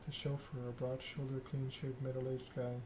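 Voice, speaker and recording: A man's voice: medium pitch, completely flat delivery, normal speed, noisy background. A man doing an ASMR read-aloud of a narrative game, occasionally stumbling over the written text. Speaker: gaming channel host.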